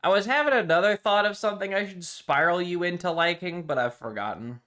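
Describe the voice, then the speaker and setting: A man's voice: sits high, lively intonation, brisk, bright, forceful tone. A man chatting casually on a livestream to his viewers, rambling and playfully dramatic. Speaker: live streamer.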